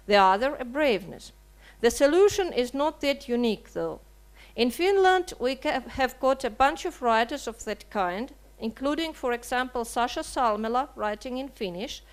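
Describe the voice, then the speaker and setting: A woman's voice: on the high side, clear, resonant tone. Woman reading a statement. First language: Russian. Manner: measured, earnest, with rhetorical questions. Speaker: writer and translator. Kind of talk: reading a prepared seminar statement. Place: seminar hall.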